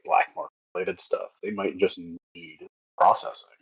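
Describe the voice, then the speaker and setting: A man's voice: sits low, fairly even intonation, normal speed, fairly bright tone, breathy edge. A man speaking as a player in a casual tabletop game, offhand and joking.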